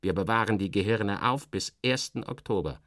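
A man's voice: lowish voice, moderate pace, fairly bright tone, dry recording, clean studio sound. Man acting a stern, officious intelligence chief. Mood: grave, threatening. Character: stern, official Russian male intelligence chief, measured and menacing.

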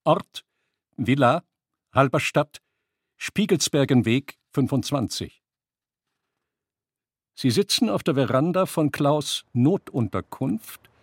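Husky, dry-sounding male narrator. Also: medium pitch, dry recording. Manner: factual, clipped announcing of headings. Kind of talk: scripted documentary radio play narration.

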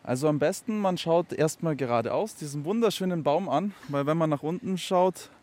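A man's voice: on the high side, normal speed, a little mellow. A man working as a pool lifeguard, giving practical step-by-step instructions in conversational speech.

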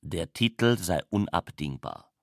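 Male reader for literary narration: lowish pitch, precise phrasing, very dry recording. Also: lively intonation, a little slow, gravelly, no echo. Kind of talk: literary reading, scripted narration.

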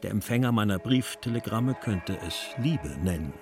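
A man's voice: on the low side, expressive, normal speed, music in the background. A man reciting literary texts from a script. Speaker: reader of poems and letters.

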